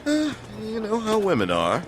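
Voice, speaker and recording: An actor playing a sly, insinuating gossip stirring up trouble in an audio drama. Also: male voice, sits high, wildly swooping pitch, normal speed, background noise.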